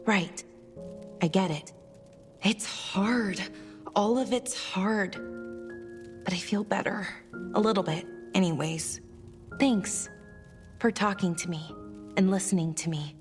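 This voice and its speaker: A woman playing a gentle, troubled young elf in a fantasy game, sincere and hesitant. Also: mid-range voice, slow, long pauses, close to an everyday voice. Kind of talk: cinematic fantasy game dialogue. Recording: long reverb, music behind.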